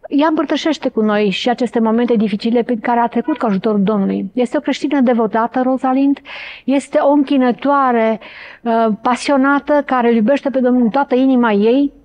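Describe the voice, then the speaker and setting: A woman presenter introducing a guest, speaking warmly and hesitantly, with many small fillers. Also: on the high side, quick pace. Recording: muffled lo-fi sound.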